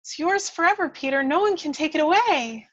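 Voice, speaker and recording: A woman acts out a tiny fairy with a high, lifted voice. She is spirited and animated, always encouraging, in the manner of a fantasy adventure script read aloud. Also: fairly quick, lo-fi sound. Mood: gently encouraging.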